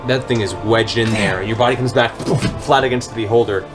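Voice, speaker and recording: A man's voice: fairly low pitch, fairly quick. A man narrating a tabletop fantasy game as game master, in a dramatic, descriptive way. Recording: music bed under the voice. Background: background music.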